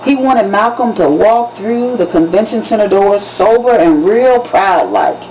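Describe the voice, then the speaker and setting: A woman narrates a novel as its author, with expressive and emphatic storytelling. Her voice sits at a medium pitch. The recording is dull and lo-fi, with faint noise behind it. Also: unhurried. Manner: dramatic, emphatic storytelling with acted dialogue. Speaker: author reading from their own novel. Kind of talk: expressive audiobook-style narration.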